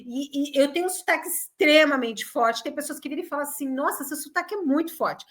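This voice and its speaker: A woman's voice: high-pitched, a little fast. A woman co-hosting a casual chat, talking emphatically and giving firm opinions. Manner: emphatic, opinionated, animated storytelling. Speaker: co-host.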